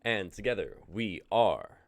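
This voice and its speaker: A man's voice: fairly low pitch, expressive, a little slow. A man in his twenties hosting a casual livestream chat, upbeat and jokey as he runs the show. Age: 20s. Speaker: host.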